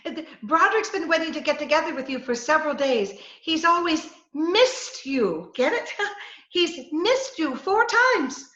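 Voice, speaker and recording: A woman's voice: high voice, animated, moderate pace, fairly bright tone. A woman playing a scheming, coaxing woman who poses as a poisoner gangster, with breezy melodramatic patter in a 1940s-style radio comedy. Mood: flustered. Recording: roomy, slightly dull video-call recording.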